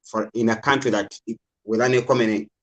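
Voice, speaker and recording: A man's voice: lowish voice, steady tone, brisk. A man from Uganda calling in to a discussion, talking casually and hedging often with repeated fillers. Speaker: caller.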